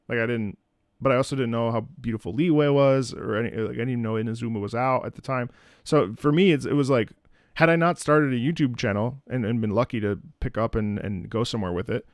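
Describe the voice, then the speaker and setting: A male streamer chats off the cuff with his viewers. He rambles through his opinions, doubling back on phrases and restarting them. His voice sits at a middle pitch in a dry, echo-free home recording. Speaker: streamer and content creator. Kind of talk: casual livestream chat with viewers. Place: indoors at home.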